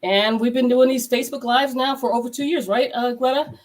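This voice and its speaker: A woman's voice: fairly high pitch, fairly quick. A woman veterinarian hosting a casual live stream, her voice congested, talking in a rambling way with many fillers and restarts. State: congested from a cold. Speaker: veterinarian and podcast host. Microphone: video call.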